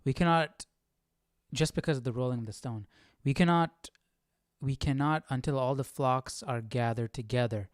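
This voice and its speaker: A man's voice: mid-range voice, moderate pace, no echo, clean studio sound. A man hosting a livestream, informally thinking aloud as he comments on a Bible reading. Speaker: livestream host.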